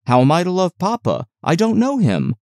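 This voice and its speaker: A man's voice: sits high, wildly swooping pitch, normal speed, a little mellow, no echo, studio quality, voice pushed up, full character voice. A man voicing a frail, peevish young boy with plaintive questions in an audiobook. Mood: puzzled. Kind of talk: audiobook character voice.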